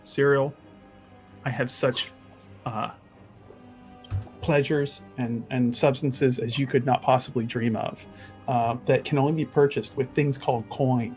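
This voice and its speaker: A man playing a pompous, condescending adventurer mage in a tabletop game, wordy and often halting mid-sentence. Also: medium pitch, fairly even intonation, a little mellow, soft background music, natural voice. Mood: persuasive.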